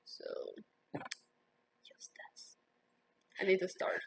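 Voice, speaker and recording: Slow, hesitant young Taiwanese-raised woman. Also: in a whisper. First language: Mandarin Chinese. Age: 20s. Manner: hesitant, many fillers like "um" and "like". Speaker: interviewee, university student. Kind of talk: casual interview answers.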